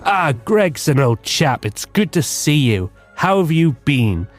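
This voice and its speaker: A man plays a dim-witted, excitable gentleman detective in a radio comedy. He blusters pompously in a fairly high male voice that swings up and down, with music underneath. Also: slow pace. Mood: breezy. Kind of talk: comic radio drama acting.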